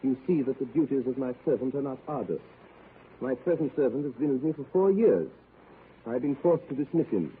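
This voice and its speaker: An actor playing a precise, cold gentleman of fixed habits, speaking in a crisp, measured way in a 1930s radio play. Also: mid-range voice, lively intonation, close to an everyday voice. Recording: tinny lo-fi 1930s radio broadcast, faint hiss.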